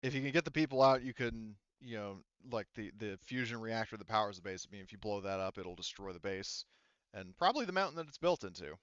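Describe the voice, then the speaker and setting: A man speaking casually as a game master, explaining things in a rambling, halting way with some swearing. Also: fairly low pitch, expressive, fairly bright tone, clear, resonant tone, dry recording, muffled recording. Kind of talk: casual improvised explanation of tabletop game rules and lore.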